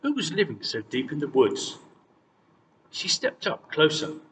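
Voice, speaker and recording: A man narrates a storybook tale with very lively intonation. He sounds set back from the microphone, with long reverb and faint noise behind him. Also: on the high side, unhurried, recorded from a distance. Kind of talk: expressive scripted fairy-tale narration.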